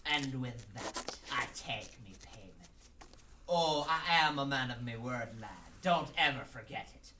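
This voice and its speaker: A gleeful, sly pirate crime lord with a thick stage brogue. He drawls slowly and theatrically in a piercingly bright voice, a little off the microphone, as in a radio play. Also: medium pitch, lively intonation, distant mic, a little hiss or hum. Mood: triumphant. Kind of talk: amateur radio drama acting.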